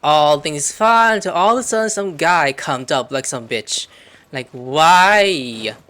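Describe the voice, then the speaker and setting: A woman's voice: low voice, lively intonation, takes it slowly, a bit bright. A woman hosting a gaming video with casual, rambling commentary and exclamations, prone to swearing. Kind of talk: casual let's play commentary.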